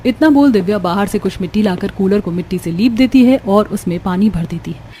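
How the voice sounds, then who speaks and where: A woman's voice: mid-range voice, fairly quick, dark tone, slight background noise. A woman reading scripted narration for a cartoon story. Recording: music behind the voice. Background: background music.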